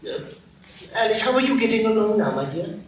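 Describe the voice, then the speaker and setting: A high-voiced, self-important mouse played by a man who lectures everyone around him. The voice comes from far off on an echoey stage, with faint hiss. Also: expressive, a little fast. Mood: pompous. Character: pompous, know-it-all male mouse. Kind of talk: live radio play acting.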